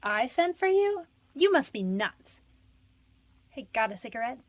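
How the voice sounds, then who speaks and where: A scared young female convict played by a woman in a radio crime drama, speaking in a fairly high voice. She is defensive and slangy, putting up a tough front with a pleading edge underneath. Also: big pitch swings, unhurried, heavily acted. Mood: defiant. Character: frightened, defiant young woman convicted of manslaughter. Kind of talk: radio detective drama acting.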